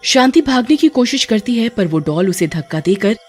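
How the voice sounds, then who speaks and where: A woman reads scripted horror narration as a storyteller. Her voice is high-pitched. Music plays behind her. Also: big pitch swings, normal speed, slightly rough.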